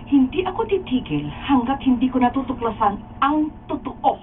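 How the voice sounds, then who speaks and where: A woman playing a suspicious, determined wife in a radio drama rehearsal, reading her lines deliberately with a Filipino accent. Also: sits high, natural voice. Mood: determined, accusing. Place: radio recording studio. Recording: muffled phone-like audio with background noise.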